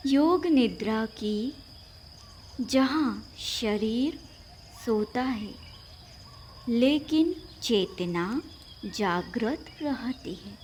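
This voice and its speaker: Very slow-speaking woman guiding relaxation. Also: a little high, a little mellow, slight background noise. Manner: measured instructions in short phrases with long pauses. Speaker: yoga nidra instructor. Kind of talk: guided relaxation meditation.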